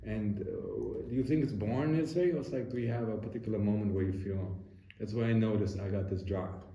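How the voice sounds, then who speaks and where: A man hosts a podcast conversation with a warm, somewhat low voice. He reflects on his own past and builds long, winding questions for his guest. The room gives a noticeable echo, with faint background noise. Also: fairly quick, creaky. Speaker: podcast host. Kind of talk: casual interview conversation.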